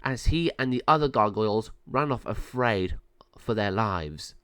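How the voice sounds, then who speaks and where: Man narrating a children's story, lively pitch. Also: measured pace, smooth, no room sound, clean studio sound. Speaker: storyteller reading aloud.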